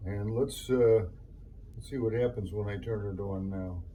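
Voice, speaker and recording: A man's voice: fairly low pitch, fairly even intonation, unhurried, noisy background. A man hosting a hands-on repair demonstration, talking casually to viewers in a folksy way as he thinks aloud. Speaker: host of a DIY electronics repair video.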